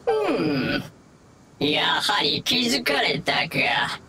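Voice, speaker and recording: A woman's voice: fairly low pitch, huge pitch swings, drawn-out words, pushed and bright, a little hiss or hum, full character voice. A woman voicing a sly, scheming monster mage villain with theatrical, sneering speech in a Japanese anime game. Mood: scheming, annoyed.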